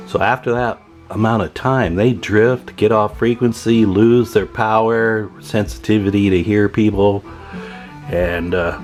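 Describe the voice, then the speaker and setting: An American man giving a casual hands-on demonstration as a repair technician, plainspoken and folksy. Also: lowish voice.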